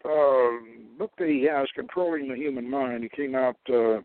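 A man's voice: mid-range voice, normal speed, dry recording. Male radio host on a tinny line. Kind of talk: talk radio lecture, partly read aloud.

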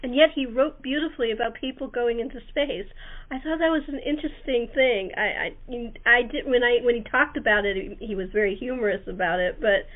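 A woman with a fairly high voice, heard through thin phone-like audio. She hosts casually, chatting and gushing as she shares personal anecdotes. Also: fairly quick. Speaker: interview host. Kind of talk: casual chat, sharing anecdotes. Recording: band-limited phone-line sound.

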